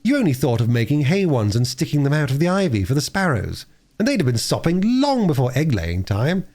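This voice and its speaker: A man's voice: fairly high pitch, big pitch swings, brisk, darkish tone, pitched above the performer's own voice. A man voicing a bossy, self-righteous young boy in a storybook reading. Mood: scornful.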